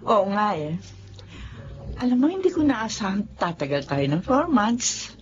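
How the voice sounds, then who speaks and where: A woman's voice: medium pitch, big pitch swings, measured pace. An actress playing a doubtful, worried older woman in a relationship in a radio drama. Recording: muffled radio-play recording with faint noise.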